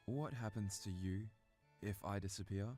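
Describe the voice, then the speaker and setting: A man playing an uncertain, trusting young hero in an animated game fandub. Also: on the low side, normal speed, soft background music, close to an everyday voice. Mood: uneasy, sincere.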